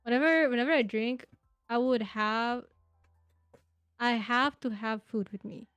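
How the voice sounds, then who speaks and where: A woman's voice: a little high, fairly even intonation, unhurried, a bit bright, smooth, dry recording, faint music behind. A woman in her twenties, a podcast guest chatting casually, telling rambling stories with lots of fillers and giggles. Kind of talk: casual interview chat.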